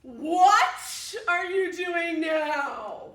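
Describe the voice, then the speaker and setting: A grumpy storybook chicken bellows at everyone to get off his sofa, played by a woman reading aloud. The voice climbs high and sharp when he loses his temper. It is heard from a distance in a roomy, echoing space. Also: expressive, drawn-out words, full character voice. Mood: indignant. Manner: indignant shouting. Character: cranky male chicken guarding his sofa. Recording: echoey room from far off.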